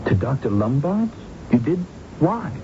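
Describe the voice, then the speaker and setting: A man's voice: on the high side, very animated, a little slow, noisy background. An actor playing a courteous, earnest and sensitive male visitor in a radio drama, speaking politely and expressively. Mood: puzzled. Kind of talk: 1970s radio drama acting and narration. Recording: muffled old radio broadcast, music behind.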